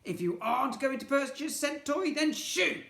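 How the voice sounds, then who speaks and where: Storybook villain: bitter old male shopkeeper played by a man, raised pitch, haughty and scolding, roomy echo. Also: normal speed, gravelly, pushed and bright, full character voice. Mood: angry scolding. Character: nasty, bitter old twin shopkeepers who hate children. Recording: echoey room.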